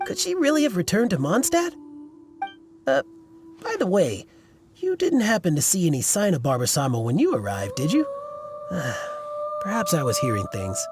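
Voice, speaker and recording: A man voicing an earnest, fussy, hesitant young fan club leader in a video game. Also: high voice. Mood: flustered, worried. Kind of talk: video game character dialogue.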